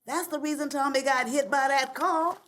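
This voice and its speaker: An American woman playing a blunt, sharp-tongued older grandmother and family matriarch in a live comedy script reading. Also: high-pitched, steady tone, normal speed. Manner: blunt, sassy, theatrical. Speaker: actor in a live sitcom table read.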